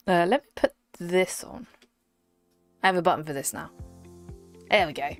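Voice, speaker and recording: A woman streaming a game, chatting casually with her viewers and laughing often, her voice worn by a slight cold. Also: fairly low pitch. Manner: giggly, chatty, talks to chat by name. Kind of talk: casual live-stream chat.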